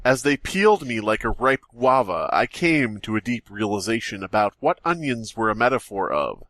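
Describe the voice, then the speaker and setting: A man with a lively, swooping voice reads a silly story in a mock-solemn, dramatic tone. The sound is dry and close, with no room around it. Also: on the low side, moderate pace, no echo. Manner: dramatic mock-serious reading, laughing often. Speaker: podcast co-host. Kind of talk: comedic reading and banter.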